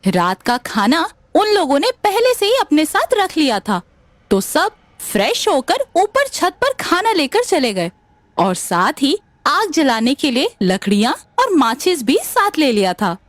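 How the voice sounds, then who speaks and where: A woman narrating scripted animated stories as the storyteller. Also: high voice, a little slow, no room sound.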